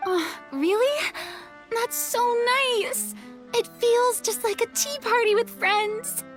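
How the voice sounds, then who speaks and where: An actress playing a sweet, curious young woman in a video game, talking in a gentle, eager, chatty way. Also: extremely high pitch, slow. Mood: delighted, curious. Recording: music behind the voice.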